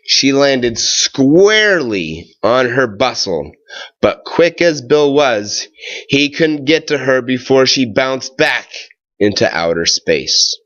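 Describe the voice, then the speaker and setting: A man reads a children's story aloud at a slow, deliberate pace. His pitch moves up and down in a lively way as he stretches words and pauses between phrases. The recording sounds a little dull and lo-fi. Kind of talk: read-aloud of a children's tall tale.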